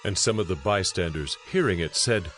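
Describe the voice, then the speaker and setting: A man giving a solemn, measured narration of scripture for a dramatized audio Bible. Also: sits low, expressive. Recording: music bed behind narration.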